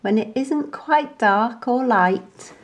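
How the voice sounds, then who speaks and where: A woman reading a children's bedtime story aloud in an expressive, deliberate storyteller's manner. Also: a little high. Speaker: storybook reader.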